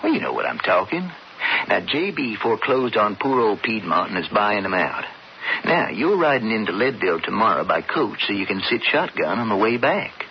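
An actor playing a scheming older brother turned stage robber in a radio western, with a folksy, persuasive American Western drawl. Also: male voice, fairly low pitch, sing-song, moderate pace. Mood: sly, persuasive. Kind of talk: radio western drama acting. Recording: muffled, noisy old radio broadcast.

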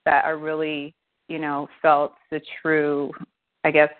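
A woman in her fifties, a guest in a casual interview, talking thoughtfully with many restarts and soft laughs. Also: sits low, completely flat delivery, slow. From United States. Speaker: guest, winery co-founder. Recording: tinny phone line.

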